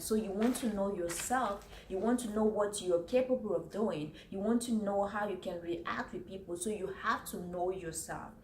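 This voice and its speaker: A woman from Cameroon, giving business tips to camera in an earnest way, repeating her points and working from notes. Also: mid-range voice. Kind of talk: casual talk to camera. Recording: roomy echo, faint background noise.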